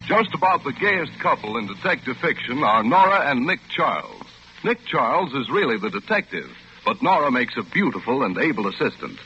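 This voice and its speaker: Vintage radio announcer guy, bright and bouncy voice, polished promo read, dull old recording. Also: mid-range voice, very animated, fairly quick, husky. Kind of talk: 1940s scripted radio promo. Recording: muffled, faintly noisy 1940s radio recording.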